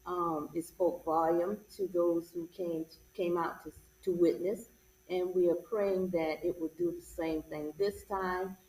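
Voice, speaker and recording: A woman's voice: on the low side, fairly even intonation, unhurried, dark tone. A woman speaking as a guest from a stage cast, giving earnest closing remarks in a conversational interview. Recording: echoey, distant mic, background noise.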